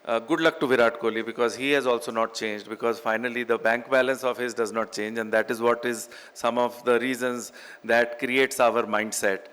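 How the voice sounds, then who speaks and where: A man's voice: medium pitch, fairly even intonation, moderate pace, fairly bright tone, smooth. A middle-aged man with an Indian accent giving an emphatic motivational business keynote, repeating phrases for effect. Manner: emphatic, repetitive phrasing, rhetorical questions. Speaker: keynote speaker, business executive. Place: large indoor event hall. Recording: echoey hall with long reverb.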